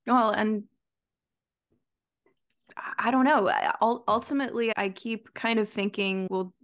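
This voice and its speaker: Woman, thirties, podcast host, mid-pitched, casual conversation, hesitant phrasing. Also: unhurried. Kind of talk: casual chat. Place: room at home, video call.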